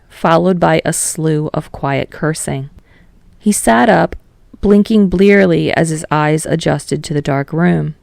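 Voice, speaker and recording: A woman narrates a story in a scripted audiobook style. Her voice is on the low side with noticeable vocal fry. The recording is close and dry, without room sound. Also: a little mellow, no echo.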